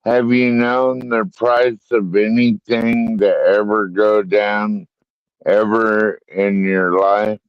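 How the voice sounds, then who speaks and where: A man in his fifties on a live-stream panel, asking slow questions in casual chat. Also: fairly low pitch, level tone, smooth, muffled recording. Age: 50s. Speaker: panel guest.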